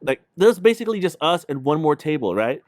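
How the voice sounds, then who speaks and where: A man's voice: on the high side, sing-song, a little fast, no echo, studio quality. A man co-hosting a casual livestream, telling stories in a slangy, rambling way. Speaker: podcast co-host.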